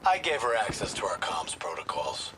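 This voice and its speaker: An actor playing a bitter, righteous rogue soldier in a video game, speaking in impassioned rhetorical speeches. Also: male voice, low-pitched, big pitch swings, moderate pace. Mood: cold, cryptic. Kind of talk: video game cutscene acting. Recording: loud battle and vehicle noise.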